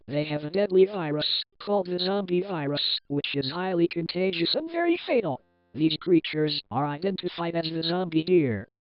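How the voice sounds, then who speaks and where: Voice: medium pitch, no echo, muffled recording. An announcer reading a scripted emergency alert in a formal, impersonal manner.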